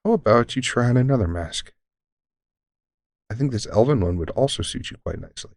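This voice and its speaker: A man playing a deranged centuries-old elf shopkeeper who is courteous, formal and unsettlingly calm, in an audio roleplay. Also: mid-range voice, a little fast, no room sound, clean studio sound, natural voice. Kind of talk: scripted audio roleplay addressing the listener.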